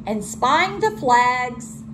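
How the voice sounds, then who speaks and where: A woman teacher reading a children's storybook aloud with expressive delivery. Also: high voice, very slow, background noise.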